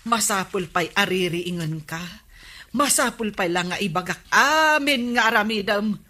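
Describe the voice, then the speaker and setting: A woman's voice: mid-range voice, animated, a little slow, sharp tone. A woman playing an exasperated, nagging Filipino village wife in a comedy radio drama.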